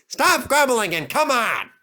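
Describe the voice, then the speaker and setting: A man's voice: very high-pitched, big pitch swings, a little slow, pushed and bright, slight background noise, voice pushed up, exaggerated voice. A man voicing a vain, impatient talking red steam engine in a children's storybook, bossy and fretful in manner.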